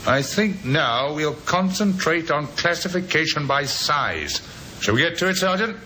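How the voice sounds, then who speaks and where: Commanding police inspector, slightly high voice, animated delivery, brisk, vintage radio drama, faint noise. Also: male voice, full character voice. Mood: brisk, musing. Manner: brisk, authoritative. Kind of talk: old-time radio drama acting.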